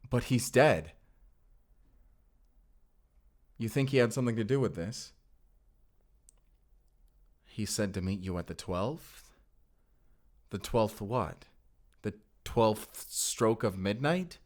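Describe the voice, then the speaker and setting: Man playing low-voiced, earnest vampire slayer. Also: expressive, slow pace, pauses often, a little mellow. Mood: worried. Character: mysterious Spanish male vampire slayer, earnest, protective, wary. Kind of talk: cinematic audio roleplay acting.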